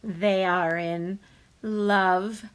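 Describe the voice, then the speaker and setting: A woman voicing a calm, matter-of-fact female pig in a picture book read-aloud, speaking in a deliberate way. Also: medium pitch, smooth.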